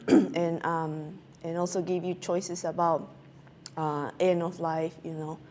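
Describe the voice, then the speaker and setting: A woman from Peru, answering interview questions as a guest physician in a halting way with many filler sounds. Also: lowish voice, level tone, a little mellow, slight background noise.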